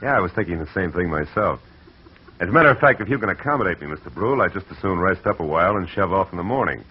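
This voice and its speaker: A wry, hard-boiled private eye narrates and trades jabs in a very deep voice that swings widely. It is 1940s radio acting on a muffled old broadcast. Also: male voice, lively intonation, fast talker, husky. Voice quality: dry, wry. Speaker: narrator and lead.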